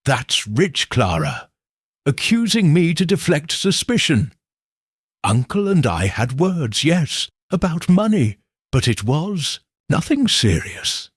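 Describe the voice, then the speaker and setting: A man voicing a charming but strained and defensive young gentleman in a mystery audiobook. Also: fairly high pitch, very animated, slow, slightly rough, no room sound, studio quality, voice pushed up, heavily acted. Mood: irritated, defensive.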